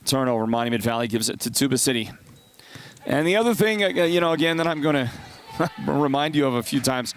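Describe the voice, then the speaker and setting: A congested man with a partly lost voice, calling high school basketball play-by-play and chatting between plays. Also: lively intonation, a little fast. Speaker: play-by-play announcer.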